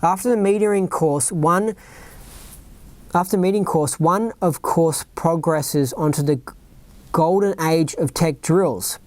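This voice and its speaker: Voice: mid-range voice, measured pace, darkish tone. Rambling Australian podcaster reading aloud. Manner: rambling, keeps asking "right?" and "you know what I mean?". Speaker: podcast host. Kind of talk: reading a written account aloud with off-script asides.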